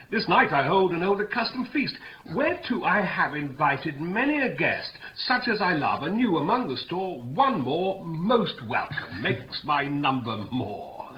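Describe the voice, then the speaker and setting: Stately older noble host, highish, widely swinging voice, declaimed fifties radio Shakespeare, faint hiss. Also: male voice. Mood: hospitable. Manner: declamatory, drawn-out verse delivery. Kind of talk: 1950s radio Shakespeare acting. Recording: muffled old radio recording with hiss.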